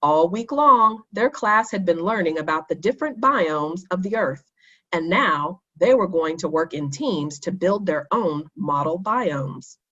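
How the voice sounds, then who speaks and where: A woman reading a children's story aloud, addressing young listeners in clear, instructive phrasing. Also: fairly low pitch, lively intonation, a little slow, fairly bright tone, dull audio. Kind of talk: expressive read-aloud for children.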